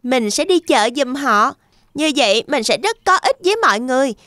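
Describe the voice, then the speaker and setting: A woman's voice: high voice, expressive, moderate pace, a bit bright, dry recording, clean studio sound. A woman voicing an earnest, brave little boy pig, the youngest brother, in a children's fairy tale cartoon. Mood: cheerful, earnest.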